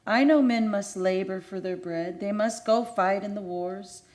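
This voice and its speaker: A woman's voice: mid-range voice, a little slow, a little mellow, natural voice. A woman playing a tender, lovelorn ghost in a radio play, speaking in solemn, archaic, incantatory phrases. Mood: solemn longing. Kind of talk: old-time radio play acting. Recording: music under the voice.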